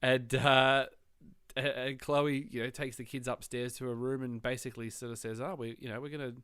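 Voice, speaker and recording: An Australian man hosts a laid-back podcast, his voice sitting in an ordinary middle range. He chats freely, laughs easily and thinks out loud. The sound is dry and close, with no room around it. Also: mid-range voice, little pitch movement, fairly quick, smooth, no room sound. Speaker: podcast host. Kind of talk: casual podcast chat.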